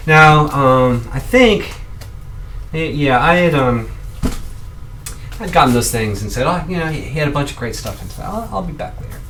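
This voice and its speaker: A man's voice: a little high, animated, moderate pace, pauses often, a bit bright, distant mic. A man hosting his own video, chatting casually and rambling as he shows the records he found. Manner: rambling, chatty, with many ums and restarts. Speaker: vlog host showing records. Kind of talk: casual show-and-tell. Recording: voice a bit off-mic, faint background noise.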